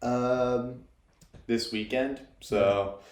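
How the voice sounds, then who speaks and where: A man's voice: mid-range voice, fairly even intonation, drawn-out words, a little mellow. A young man co-hosting a podcast, easygoing and casual, with short agreeing replies. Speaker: podcast co-host. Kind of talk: casual chat. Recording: echoey room.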